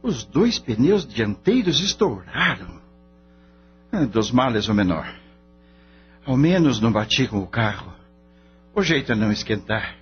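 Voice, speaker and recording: An actor playing an easygoing veterinarian who gets frightened under threat, in a radio drama. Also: male voice, medium pitch, sing-song, a little slow, long pauses, husky, full character voice. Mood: startled, resigned. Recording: dull lo-fi audio, music behind.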